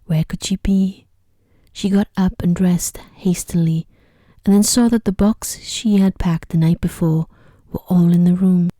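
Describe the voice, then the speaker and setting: A woman narrating an ASMR sleep story in a hushed, gentle voice that drifts into whispers. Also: lowish voice, no room sound. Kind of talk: soft-spoken ASMR bedtime story reading.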